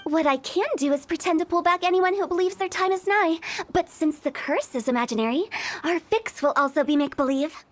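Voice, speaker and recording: A breezy, mischievous funeral director. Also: female voice, extremely high pitch, fairly quick. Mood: explaining. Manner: breezy, confident, teasing. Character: mischievous young woman funeral director, playful and shrewd. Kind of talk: game voice acting.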